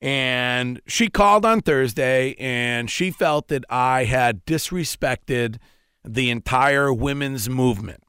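A nasal-voiced man hosting a radio show podcast in a studio, talking in halting bursts with lots of repeated words. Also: mid-range voice, a bit bright, clear, resonant tone, dry recording. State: recovering voice after a week without one. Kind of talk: bantering podcast chat. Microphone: studio microphone.

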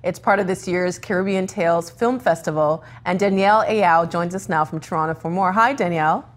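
A woman hosting a broadcast interview, delivering scripted introductions in a measured way. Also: fairly low pitch. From Africa. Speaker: host.

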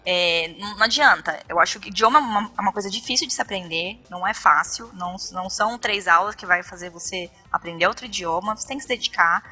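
A Brazilian woman in her thirties chatting as a podcast guest, lively and talkative. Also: mid-range voice, slightly rough, soft background music. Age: 30s. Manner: lively, chatty, quick-talking. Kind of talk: casual podcast chat.

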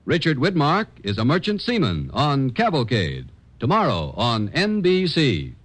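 A man's voice: mid-range voice, wildly swooping pitch, a little slow. An American man announcing in a scripted 1950s network radio style. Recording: vintage radio broadcast with music.

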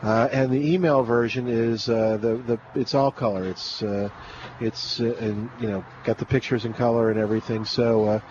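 Male radio host, chatty and rambling, mid pitch, outdoor remote broadcast, muffled audio. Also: fairly even intonation, normal speed, background noise. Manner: rambling and chatty, many fillers and restarts. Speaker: radio gardening show host. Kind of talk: live call-in radio chat.

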